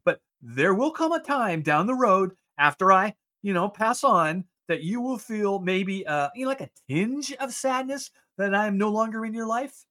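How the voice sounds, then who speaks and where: High-pitched, animated male radio host. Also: moderate pace, few pauses. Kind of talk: casual studio banter and interviewing.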